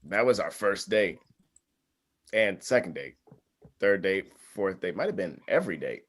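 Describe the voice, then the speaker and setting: An actor playing an awkward, self-deprecating, good-natured young groom in a comedy table read, casual and dry. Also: male voice, low voice, expressive, a little slow, breathy edge, full character voice.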